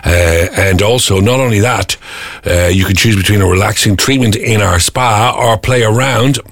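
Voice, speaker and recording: Husky radio host. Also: male voice, lowish voice, normal speed, a bit bright, no echo. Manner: chatty, jokey, slips into silly voices. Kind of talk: casual morning radio chat. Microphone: studio microphone.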